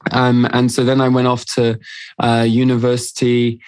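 A man's voice: mid-range voice, monotone, moderate pace, a little mellow, no echo. A man who grew up in Switzerland and France, a podcast guest telling his own story in a candid, chatty way with plenty of "um"s. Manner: candid, chatty, many fillers. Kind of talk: casual storytelling interview.

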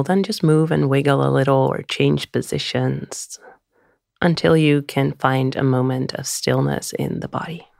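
A woman guiding a meditation in a soothing, gentle, unhurried way, often trailing into a breathy near-whisper. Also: deep voice, dry recording, studio quality. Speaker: meditation guide. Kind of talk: guided meditation.

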